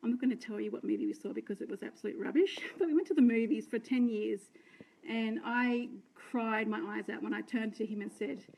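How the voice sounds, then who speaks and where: Chatty Australian woman, slightly croaky. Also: fairly high pitch, lively intonation, brisk, darkish tone, low-quality recording. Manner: chatty, rambling, self-deprecating, greets viewers. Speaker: direct sales team leader. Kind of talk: unscripted live video talk. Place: indoor room by curtains. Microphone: phone held close, front camera.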